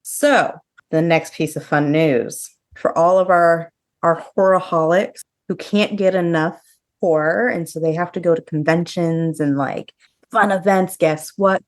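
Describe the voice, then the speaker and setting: Casting: female podcast co-host, lowish register, loose conversational delivery, informal news chat. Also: a little slow. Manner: conversational, peppered with "like". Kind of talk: casual chat about horror news.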